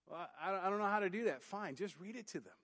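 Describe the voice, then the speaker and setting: A youth pastor preaching a sermon in church, booming then dropping to quiet emphasis. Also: male voice, lively intonation, quick pace. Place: church sanctuary. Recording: long hall reverb. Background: hall reverb.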